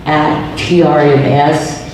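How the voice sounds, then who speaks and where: A man's voice: on the high side, level tone, takes it slowly, heavy reverb, distant mic, background noise. A man hosting a class session, introducing a guest in a chatty, joking way. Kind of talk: classroom presentation.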